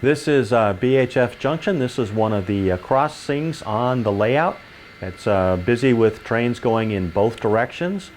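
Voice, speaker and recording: A man's voice: mid-range voice, lively intonation, unhurried, darkish tone, slight background noise. An older man giving an informal, explanatory tour of a model railroad layout as its hobbyist guide.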